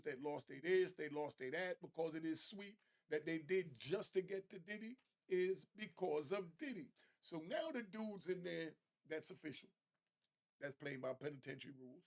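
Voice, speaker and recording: A man's voice: fairly high pitch, vocal fry. A man giving informal opinion commentary to viewers, talking in street slang with emphatic repetition.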